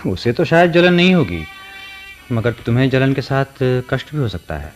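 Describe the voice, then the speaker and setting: A man's voice: medium pitch, sing-song, moderate pace, faint music behind, close to an everyday voice. An actor playing an earnest, questioning man courted by a ghost in a radio drama, with a theatrical delivery. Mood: hesitant, gentle. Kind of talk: Hindi radio play acting.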